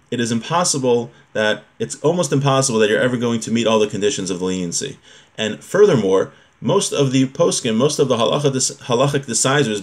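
A man in his thirties or forties teaching informally, reading out Hebrew text and explaining it in colloquial English, repeating key points. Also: medium pitch. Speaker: religious teacher. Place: small room at home, indoors.

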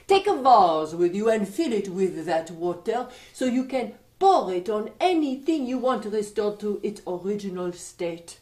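A woman's voice: mid-range voice, expressive, darkish tone, echoey room, close to an everyday voice. Woman doing a sly French-accented magical young man. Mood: instructive. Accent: put-on French. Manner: smooth, knowing, unhurried.

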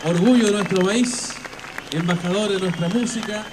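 A man emceeing a festival on an outdoor stage, talking into a handheld mic through the PA with emphatic, drawn-out phrasing. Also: high voice, noisy background. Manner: emphatic, drawn-out announcer delivery with repeated fillers. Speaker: event MC. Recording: live PA sound with loud crowd.

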